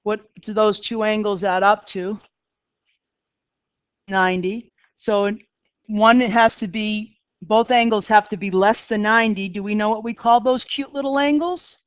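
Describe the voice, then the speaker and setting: A woman's voice: medium pitch, fairly even intonation, a little slow, clear, resonant tone, no room sound, muffled recording. A middle-aged woman with a Boston accent, teaching a math class in a chatty, self-deprecating way and talking straight to her students. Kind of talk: classroom lecture.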